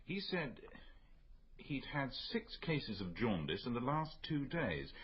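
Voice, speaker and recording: An actor playing an earnest, cautious consultant physician in a radio drama, husky-voiced, precise and a little hesitant. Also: male voice, mid-range voice, very animated, slow pace, a little hiss or hum. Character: earnest, cautious male hospital consultant physician, rather diffident. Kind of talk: BBC radio drama acting. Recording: dull, muffled radio recording.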